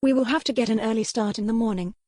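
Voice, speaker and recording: A woman voicing a poised, witty young gentlewoman in a historical romance audiobook, with playful, formal period diction. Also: fairly high pitch, fast talker, dry recording, clean studio sound, voice pushed up, natural voice. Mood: wry, playful. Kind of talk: audiobook character voice.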